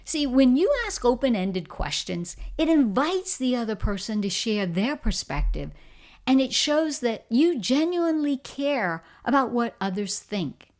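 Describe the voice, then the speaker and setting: A middle-aged woman with a Bahamian accent giving an encouraging, emphatic motivational talk on leadership. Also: mid-range voice. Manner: warm, encouraging, emphatic storytelling. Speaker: leadership coach.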